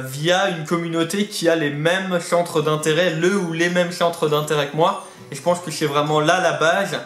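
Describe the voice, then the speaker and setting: A man's voice: fairly high pitch, fairly bright tone. A man with a slightly lisping, unusual articulation, chatting in a talkative, rambling way as host of a casual hobby video. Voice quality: atypical articulation, slight lisp-like speech impediment. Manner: talkative, rambling, repeats himself. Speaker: YouTube host of a reptile hobby channel. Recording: roomy echo, faint music behind.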